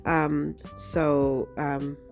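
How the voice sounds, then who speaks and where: A woman hosting a craft tutorial, slightly hoarse from a cold, talking in a friendly, chatty way with frequent ums. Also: low voice, flat delivery, very slow, faint music behind. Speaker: craft channel host. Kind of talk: casual craft tutorial narration.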